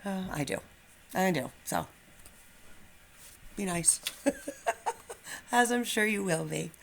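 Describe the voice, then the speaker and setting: A woman's voice: on the low side, animated, slow, vocal fry, slight background noise. A middle-aged woman speaking as a teacher to young pupils, informal and encouraging, in a children's read-aloud style. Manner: informal, encouraging, slightly nervous. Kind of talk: casual chat and expressive children's storybook read-aloud.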